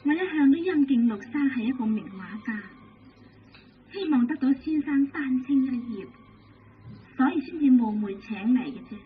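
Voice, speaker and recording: 一位女演员以香港粤语口音饰演一位二十五岁左右、神秘娇媚的大户少妇，语气温婉撩人，老式广播剧录音。. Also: female voice, sits high, lively intonation, normal speed. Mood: gracious. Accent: Hong Kong Cantonese. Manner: soft, coquettish, genteel. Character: alluring mysterious young noblewoman of twenty-five. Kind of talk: vintage radio drama acting. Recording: tinny old radio broadcast with hiss.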